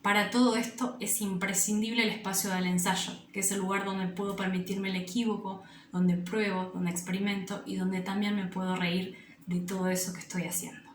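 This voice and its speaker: A woman's voice: medium pitch, flat delivery, moderate pace. Echoey, slightly distant woman teacher. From Argentina. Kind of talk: instructional presentation. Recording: echoey room, distant mic, faint noise.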